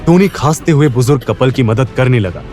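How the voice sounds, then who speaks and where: A man's voice: medium pitch, fairly quick, a little mellow, faint music behind. A man narrating an audio drama serial from a script in a suspenseful, dramatic storytelling style.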